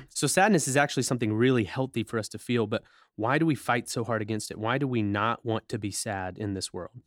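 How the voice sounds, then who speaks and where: A man's voice: mid-range voice, brisk, no echo, clean studio sound. A young man co-hosting a casual faith podcast, joking and self-deprecating as he talks. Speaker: podcast co-host.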